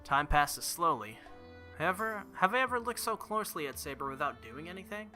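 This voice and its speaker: A man with a very bright, forceful voice reads game text aloud expressively and drops into casual, joking asides. His pitch sits a little high, and faint music plays behind him. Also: very animated, fairly quick. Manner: casual, joking asides between dramatic readings. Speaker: gaming video creator reading a visual novel aloud.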